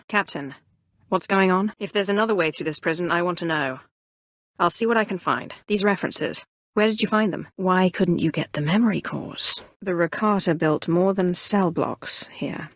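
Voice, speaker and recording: A woman's voice: fairly low pitch, no echo, studio quality. A woman playing a cruel, sardonic Sith sorceress in a video game, with an imperious, taunting manner. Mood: cool, businesslike. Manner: imperious, taunting, clipped lines. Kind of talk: video game character dialogue.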